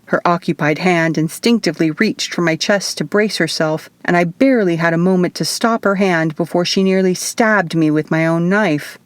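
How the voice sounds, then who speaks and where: A woman's voice: fairly low pitch, moderate pace, hardly any pauses, no room sound. A woman reading scripted first-person fiction as an audiobook narrator. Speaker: author reading own audiobook.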